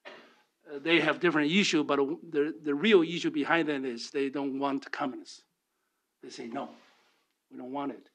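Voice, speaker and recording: A man from Taiwan, giving halting remarks full of fillers and restarts as a speaker at a policy forum. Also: fairly high pitch, steady tone, a bit bright. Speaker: panel speaker.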